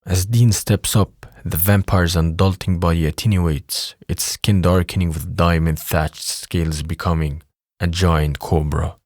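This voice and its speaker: A man's voice: low-pitched, steady tone, measured pace, darkish tone, no echo, clean studio sound. A man reading scripted scene narration for an audio drama.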